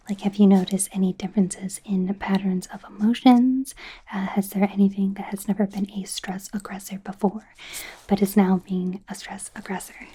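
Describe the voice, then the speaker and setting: A friendly young werewolf doctor played by a woman speaks in an ASMR roleplay whisper, breathy and soft. The tone is dark and relaxed, while the delivery is hesitant, casual and a little flustered. She reassures in an awkward, rambling way. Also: medium pitch, lightly acted. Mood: caring, curious.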